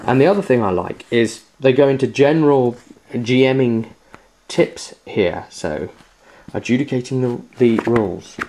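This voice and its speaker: A man's voice: mid-range voice, normal speed, darkish tone, a little hiss or hum, poor audio. A man hosting a casual unboxing video, chatting to viewers in an enthusiastic, emphatic way. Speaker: host of a tabletop gaming video series.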